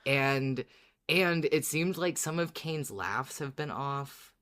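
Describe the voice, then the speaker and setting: An androgynous-sounding podcast co-host speaks in a middling pitch. The delivery is casual and conversational, whether trading fan theories or reading listener mail aloud. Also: expressive, slow, a bit bright.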